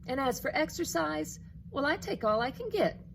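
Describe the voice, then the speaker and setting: Small boastful young boy character for a storybook, female reader: high, earnest, eager, proud. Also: very animated, moderate pace, creaky, background noise, heavily acted.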